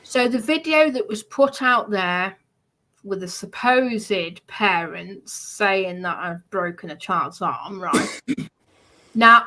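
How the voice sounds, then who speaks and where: A woman chatting casually and explaining in a halting way, repeating phrases for emphasis. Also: medium pitch, sharp tone, poor audio.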